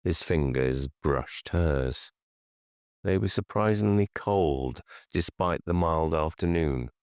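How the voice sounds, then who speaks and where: A man narrating horror fiction for an audiobook in a measured, deliberate, hushed storytelling manner. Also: rumbling low, clear, resonant tone, no room sound, studio quality.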